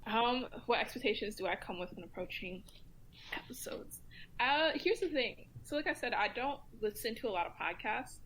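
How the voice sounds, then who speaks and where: A woman speaking as a guest commentator in a casual round-table discussion, relaxed and chatty with many ums and likes. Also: fairly high pitch, moderate pace, slight background noise, rough recording.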